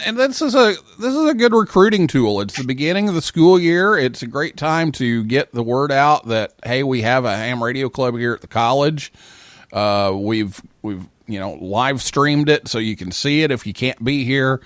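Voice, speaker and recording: A man's voice: mid-range voice, no room sound, studio quality. An American man casually hosting a live talk show.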